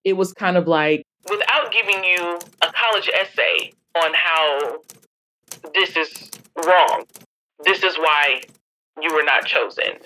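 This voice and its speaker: A woman with a fairly low voice talks as a podcast guest. She explains things in an emphatic, conversational way, often slipping into quoted remarks to make her point. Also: slow, bright, forceful tone, poor audio. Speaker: guest author and anthology publisher.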